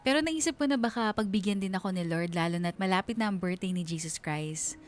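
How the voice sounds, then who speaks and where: A woman's voice: medium pitch, fast talker, faint music behind, close to an everyday voice. An actress playing a smitten young woman narrating her own love story for a radio drama, confiding and giddy.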